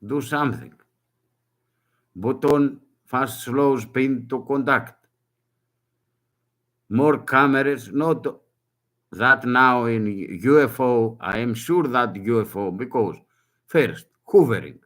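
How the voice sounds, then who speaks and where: A man's voice: medium pitch, slow pace, long pauses, fairly bright tone. An older man with a Greek accent, a native Greek speaker, making an urgent public appeal in broken English with short repeated phrases. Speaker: self-made video appellant.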